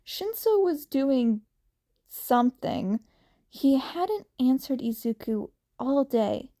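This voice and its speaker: Female story reader: high, soft-toned and unhurried, with animated intonation for audiobook-style narration. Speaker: podfic reader. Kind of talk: fan fiction narration, audiobook-like.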